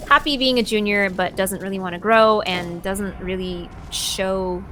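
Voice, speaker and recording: A woman talks in a relaxed, conversational interview style at a medium pitch. She pauses thoughtfully as she explains, with slight noise in the recording. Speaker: voice actor being interviewed.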